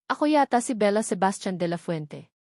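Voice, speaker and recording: A woman's voice: mid-range voice, very animated, fairly quick, darkish tone, no room sound, studio quality. A Filipino woman voicing a proud, sarcastic, rich young heroine in storybook dialogue. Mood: boastful.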